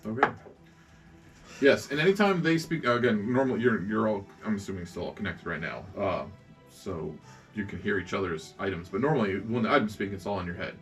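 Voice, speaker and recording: A man narrating as game master of a tabletop roleplaying game, explaining things in a casual, halting way. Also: fairly low pitch, lively intonation, fairly bright tone, faint music behind.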